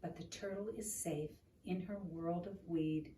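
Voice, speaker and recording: A woman reading a children's book aloud in an expressive, deliberate storytelling manner, in a roomy, noisy recording made at a distance from the microphone. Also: on the low side. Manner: expressive, deliberate, asking the listener questions.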